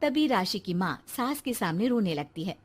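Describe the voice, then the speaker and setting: A woman narrating a cartoon story in a plain, even storytelling manner. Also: medium pitch, normal speed, slightly rough. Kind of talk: scripted narration.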